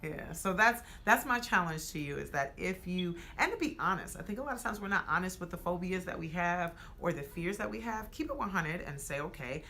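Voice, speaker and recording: An American woman talking casually and with emphasis, often acting out quoted speech and rising to animated peaks. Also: on the low side, brisk, hardly any pauses, faint music behind. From United States. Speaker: podcast co-host. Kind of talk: casual conversation.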